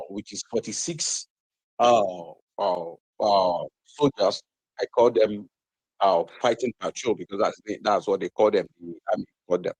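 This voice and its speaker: A man's voice: on the low side, darkish tone, slightly rough, dry recording, rough recording. A man with a Nigerian accent, arguing heatedly as a guest on a TV debate, repeating phrases for emphasis. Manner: impassioned, repeats phrases for emphasis, many fillers. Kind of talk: heated political argument.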